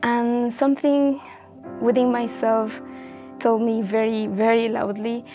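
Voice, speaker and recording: A woman's voice: a little high, flat delivery, takes it slowly, dark tone, soft background music. A woman in her twenties from Ecuador, speaking as a guest sharing her personal story in an earnest, reflective way with many fillers. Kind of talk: personal testimony in a casual chat.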